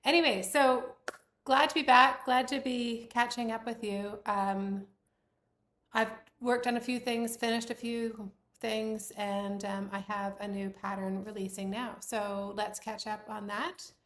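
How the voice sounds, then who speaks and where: A woman in her forties or fifties from Bermuda, hosting a knitting podcast in casual chat, her voice a little croaky and her talk rambling with lots of fillers. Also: fairly high pitch, steady tone, measured pace, a bit bright, reverberant. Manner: chatty, rambling with many fillers. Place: room at home with doors closed.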